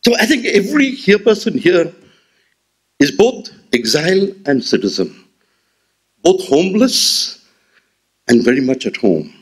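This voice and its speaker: A man's voice: a little high, unhurried, pauses often, slightly rough. A man in his sixties with an Indian English accent, giving a memorial lecture at a podium in an auditorium, speaking with rhetorical flair. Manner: expressive, rhetorical, gestures while speaking. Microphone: podium gooseneck mic.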